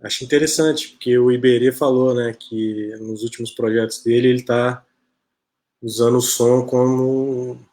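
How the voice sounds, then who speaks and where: Chatty man hosting a live stream. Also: mid-range voice. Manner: chatty, relaxed, many "né?" tags. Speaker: host of an online interview show. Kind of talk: casual live-stream chat.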